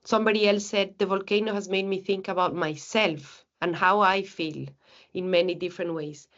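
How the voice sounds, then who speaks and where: A woman's voice: fairly low pitch, fairly even intonation, moderate pace, fairly bright tone, lo-fi sound. A woman from Ecuador giving an earnest, reflective academic seminar talk, often restarting her phrases.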